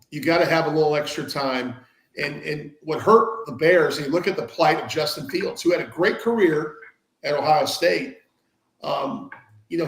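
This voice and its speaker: A middle-aged man from Iowa with a Midwestern accent, giving casual sports analysis as a panelist. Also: fairly high pitch. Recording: roomy, echoing sound.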